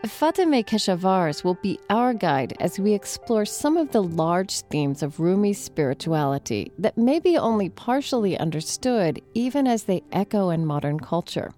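Female interview host, measured and reflective delivery, dark mellow tone. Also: mid-range voice. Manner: measured, thoughtful questioning. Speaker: radio host. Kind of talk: scripted introduction and interview.

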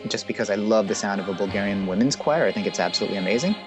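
A man's voice: on the low side, very fast, loud music behind the voice. A man being interviewed as a composer, speaking casually and thoughtfully, hedging with lots of "sort of" and "um". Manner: thoughtful, hedging, with many fillers.

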